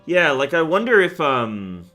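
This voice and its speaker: Bright-voiced young man streaming. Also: fairly high pitch, big pitch swings, measured pace. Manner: rambling, many fillers, gets worked up when ranting. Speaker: game streamer. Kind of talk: casual live-stream chat. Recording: faint music behind.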